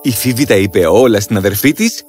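A man narrating a children's fairy tale in an expressive storytelling way, from a script. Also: lowish voice, faint music behind.

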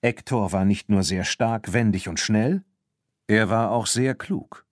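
A man narrating a children's audiobook in a measured, clearly enunciated storytelling manner. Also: fairly low pitch, no echo, clean studio sound. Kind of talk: scripted children's audiobook narration, reading characters' lines.

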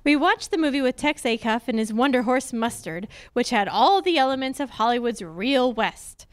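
Woman acting a high-voiced, sassy radio lady detective. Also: animated, normal speed, close to an everyday voice. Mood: wry narration. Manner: arch, teasing, wry. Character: sassy, suspicious young female private eye. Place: live on a stage before a curtain. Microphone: stand microphone.

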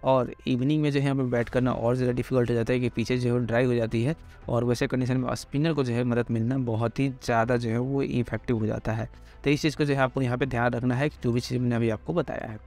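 A man giving a quick, casual cricket pitch analysis, talking straight to viewers with lots of filler phrases. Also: fairly even intonation, darkish tone, faint music behind. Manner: rapid, heavy filler phrases, addresses viewers directly. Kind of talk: fast casual explainer over slides.

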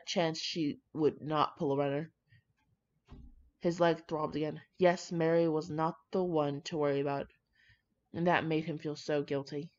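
Young adult woman, low register, informal fan fiction reader who trips over words; dull home recording. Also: darkish tone. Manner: informal, slangy, swearing, stumbles over words while reading. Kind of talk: reading fan fiction aloud with casual, chatty asides.

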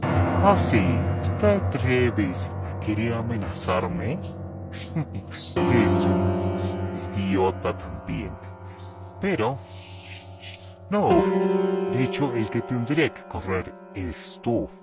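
Man acting a menacing brother, very slow. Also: mid-range voice, sing-song. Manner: threatening, contemptuous. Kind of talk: amateur Spanish fandub roleplay acting. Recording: very loud music over the voice.

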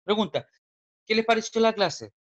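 A man's voice: sits high, expressive, moderate pace, fairly bright tone. Chilean man teaching over a video call. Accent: Chilean Spanish. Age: 40s. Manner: patient, explanatory, frequent restarts. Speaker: history teacher. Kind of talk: online class wrap-up.

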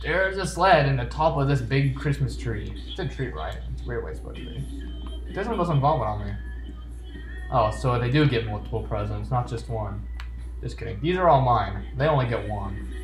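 A man's voice: medium pitch, lively intonation, normal speed. A man giving playful, joking commentary while playing a game, reading on-screen text aloud. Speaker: gamer. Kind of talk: let's play commentary. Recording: roomy echo with game music. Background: game music.

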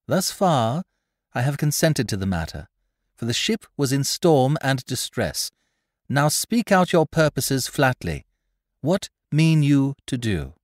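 Guy doing a bossy level-headed young lass on a ship, high pitched, blunt, old-time sea story talk. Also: sing-song, unhurried, no room sound, clean studio sound. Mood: cool command. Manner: cool, blunt, quietly commanding, demanding. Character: commanding, level-headed young woman aboard a mutinous ship. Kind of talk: audiobook character voice, period seafaring speech.

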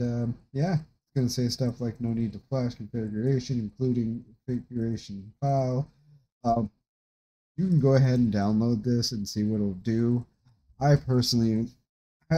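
A man in his thirties giving a casual, rambling, halting tutorial from his desk at home. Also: medium pitch, dark tone, dull audio. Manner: rambling, halting, informal explanations. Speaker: tutorial host. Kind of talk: casual screen-share tutorial. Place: small room indoors at a desk.

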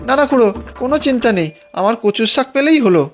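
A woman's voice: fairly high pitch, lively intonation, fast talker, natural voice. A woman voicing a simple, forgetful henpecked village husband in a cartoon, whiny and exclamatory. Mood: eager. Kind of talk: animated cartoon dialogue. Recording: music clearly behind the voice.

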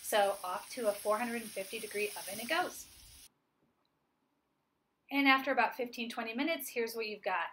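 A woman's voice: on the high side, moderate pace, long pauses, a bit bright, a little hiss or hum, rough recording. A woman in her forties, a chef, giving a friendly, upbeat cooking demonstration and explaining each step plainly. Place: home kitchen, indoors.

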